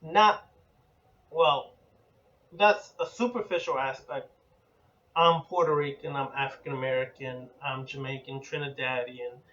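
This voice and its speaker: A man giving a spoken lecture as an occult teacher, measured and deliberate, building his points in lists. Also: mid-range voice, steady tone, fairly bright tone, recorded from a distance, dull audio.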